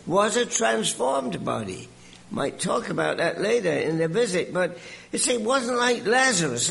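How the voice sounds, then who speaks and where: Older male preacher, English-Canadian accent; high, gravelly, exclamatory storyteller. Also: poor audio. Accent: English mixed with Canadian. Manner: animated, exclamatory storytelling with repeated words. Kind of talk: sermon.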